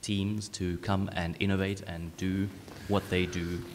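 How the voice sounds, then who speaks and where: Man from South Africa, audience speaker at a conference. Lowish voice, reverberant hall. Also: level tone, measured pace, a little hiss or hum. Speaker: co-working space founder speaking from the audience. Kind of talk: informal remarks at a conference. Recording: echoey hall with long reverb.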